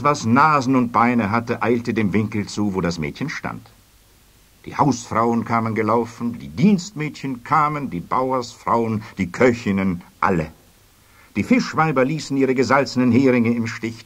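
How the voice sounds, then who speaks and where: A man narrating a children's story expressively, with a husky voice. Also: lowish voice.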